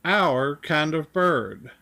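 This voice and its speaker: A man's voice: fairly high pitch, animated, very slow, gravelly, bright, forceful tone. A man narrating a children's read-along storybook in a scripted style.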